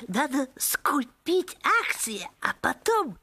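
A man playing a fawning, sly henchman in an animated film, with an obsequious, drawling manner. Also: very high voice, slow pace, exaggerated voice. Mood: sly, eager.

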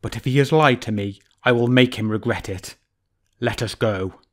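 A man voicing a stern, proud lion king who speaks in a measured, commanding way. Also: mid-range voice, natural voice. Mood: calm, reserved.

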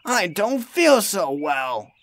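A man doing a high, scornful vain steam engine. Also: wildly swooping pitch, measured pace, fairly bright tone, breathy edge, heavily acted. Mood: groaning. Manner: scornful, protesting. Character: proud, vain male steam engine, easily miffed. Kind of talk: storybook character voice.

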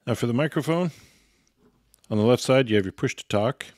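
A man's voice: mid-range voice, fairly quick, a little mellow, no echo, clean studio sound. A man giving an instructional product walkthrough in a matter-of-fact, step-by-step way. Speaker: product reviewer and demonstrator.

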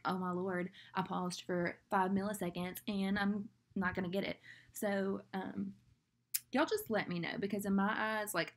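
A young woman with a Southern US accent talks casually and ramblingly to her laptop camera from a small room. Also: medium pitch. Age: young adult. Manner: chatty, rambling, fillers like "like". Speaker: vlogger.

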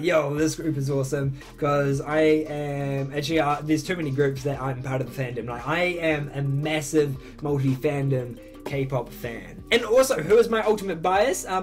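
Young male vlogger, 18, slightly high voice, eager and bouncy, casual bedroom Q&A, faint music bed. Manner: excitable, swings up high when enthusing. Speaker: YouTuber answering fan questions. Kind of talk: casual Q&A vlog. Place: bedroom, indoors.

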